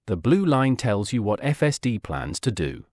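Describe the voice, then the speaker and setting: A man reading a scripted narration on technology in an even, informative way. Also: lowish voice, moderate pace, no room sound, clean studio sound. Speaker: channel narrator.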